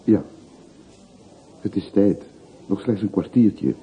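Patient low-voiced porter, narrow old recording. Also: male voice, expressive, slow, breathy edge, exaggerated voice. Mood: urging. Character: patient, plain-spoken hired porter, a quietly probing male listener. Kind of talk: radio play acting. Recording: muffled phone-like old radio recording, hissy.

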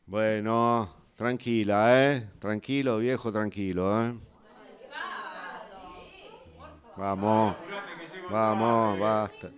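A man's voice: on the low side, steady tone, slow. A man with an Argentine accent playing a harried, impatient city bus driver who nags and orders passengers around, in improvised radio drama. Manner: repetitive, bossy, nagging.

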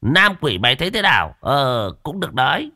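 A crude old exorcist, as the narrator plays him, coarse and teasing, jumping up high as he barks orders. His lively voice sounds bright and dry, with faint music behind it. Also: a little fast, no room sound, exaggerated voice. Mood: mocking. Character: old male exorcist, gruff, mocking, crude.

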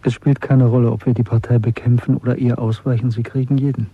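Radio drama dissident: husky, sombre, fatalistic, mid-pitched, close dry studio sound. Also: male voice, little pitch movement, quick pace, no room sound. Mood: resigned, sombre. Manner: sombre, restrained, terse. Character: weary, fatalistic male dissident, resigned to doom. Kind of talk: German radio drama acting. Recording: dry, slightly dull studio radio recording.

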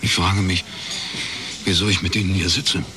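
An actor playing a reserved middle-aged detective in a radio crime drama, speaking in a dry, laconic way. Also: male voice, low voice, level tone, normal speed, bright, forceful tone. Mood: reserved, wry. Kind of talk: first-person radio crime drama narration and dialogue.

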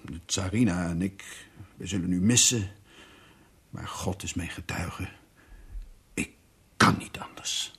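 Husky, low-voiced, solemn Russian tsar. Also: male voice, fairly bright tone, full character voice. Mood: regretful, grave. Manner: halting, solemn delivery. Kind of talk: radio drama acting.

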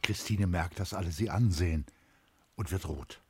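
A man giving measured, literary narration for a radio play. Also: sits low, noisy background.

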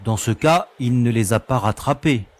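A man's voice: medium pitch, moderate pace, lightly acted. A man acting a teasing, easygoing young space explorer. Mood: casual, teasing. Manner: teasing, casual. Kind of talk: audio drama acting.

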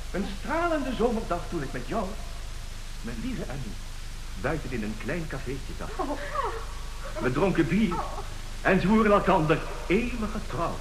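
Theatrical, glib male swindler. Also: high-pitched. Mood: theatrical, coaxing. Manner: theatrical, swinging from cool bargaining to florid pleading. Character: glib, brazen male swindler and sweet-talking seducer of many women. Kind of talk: radio play acting. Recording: roomy radio drama recording.

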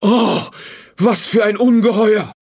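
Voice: medium pitch, fairly even intonation, a little mellow, breathy edge, pitched above the performer's own voice. A man voicing a gullible, easily outraged peasant husband in an emphatic storybook style. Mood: horrified. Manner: emphatic, drawn-out, theatrical.

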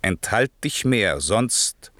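Deep, commanding old magician. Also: male voice, very animated, slow pace, fairly bright tone, no room sound, full character voice. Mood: stern, warning. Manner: solemn, declamatory, stern. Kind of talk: classical German radio drama acting.

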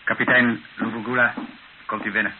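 A man's voice: medium pitch, flat delivery, fairly quick, close to an everyday voice. Een man die in een hoorspel een hoffelijke, berustende vader van middelbare leeftijd speelt. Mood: formal, concerned. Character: resigned, courteous colonial father, Monsieur Moreau. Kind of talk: radio play acting. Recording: muffled, hissy old radio recording.

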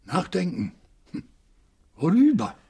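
A man's voice: sits high, huge pitch swings, slow pace, darkish tone, husky, exaggerated voice. An actor playing a dignified, wary professor in his seventies, speaking formal, articulate German radio-drama lines. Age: 70s. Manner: articulate, formal, indignant pride. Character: dignified, wary seventy-year-old French professor living among books.

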